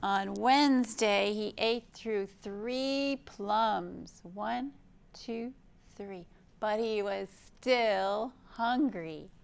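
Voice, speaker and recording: Unhurried, gravelly American storytime woman. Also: mid-range voice. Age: middle-aged. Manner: expressive, simple explaining for young children. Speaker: children's librarian, storytime host. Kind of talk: children's storytime read-aloud and show-and-tell. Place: indoor library room.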